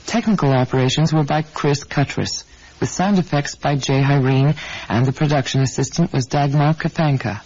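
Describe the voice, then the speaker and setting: A man's voice: fairly high pitch, a little mellow. A man announcing a radio series, reading scripted closing credits. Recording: dull 1980s radio broadcast.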